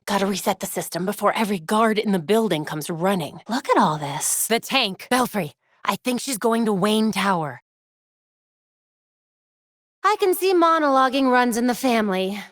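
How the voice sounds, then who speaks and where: A woman's voice: mid-range voice, expressive, moderate pace, no echo, clean studio sound, lightly acted. A woman playing a determined, wry young female vigilante superhero in a video game, speaking in a focused, conversational way. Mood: wry, teasing. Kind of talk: video game character dialogue lines.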